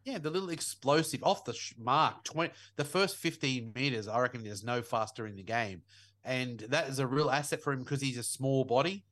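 Brief: young Aussie male podcast co-host, bright tone, jokey and animated. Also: medium pitch, moderate pace. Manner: jokey, animated, laughs often. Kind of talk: casual sports chat.

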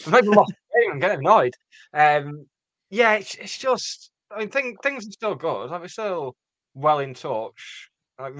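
Co-host, rambling and excitable, dry recording. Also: male voice, no echo. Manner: rambling, pitch jumps up and down. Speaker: podcast co-host. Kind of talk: casual chat.

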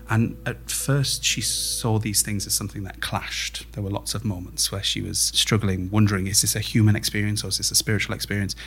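A man's voice: fairly low pitch, a bit bright. A man speaking as an interviewed playwright, explaining his thinking in a reflective, conversational way.

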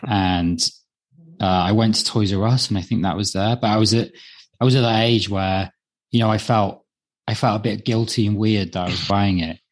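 A man's voice: fairly low pitch, steady tone, moderate pace. A man in his late thirties co-hosting a podcast, chatting casually in a hesitant, self-deprecating way that often trails off. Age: late 30s. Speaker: podcast co-host. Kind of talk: casual chat.

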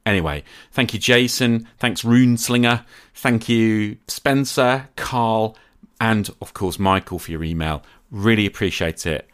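A man's voice: fairly low pitch, a little slow, a bit bright, clear, resonant tone. A man hosting a podcast, talking in a conversational way to his listeners. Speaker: podcast host.

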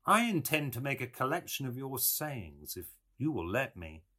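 Male reader as young English gentleman, literary fiction. Self-assured, polite, formal, raised pitch. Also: sing-song, normal speed. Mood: courteous.

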